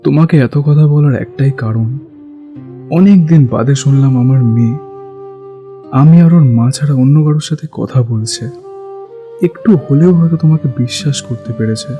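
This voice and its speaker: A man's voice: medium pitch, moderate pace, long pauses, warm tone, natural voice. An actor playing a middle-aged father who confides earnestly and sadly about his grown daughter in an audio drama. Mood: sorrowful. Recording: music under the voice.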